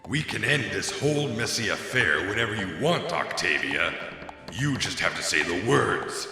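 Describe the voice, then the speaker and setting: Cavernous, echoing undead skeleton pony. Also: male voice, lowish voice, sing-song, normal speed, gravelly. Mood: menacing, coaxing. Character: skeletal undead pony reaper, weary, dryly aggrieved. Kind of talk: fan fiction audio drama acting. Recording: long cavernous echoing reverb. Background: music.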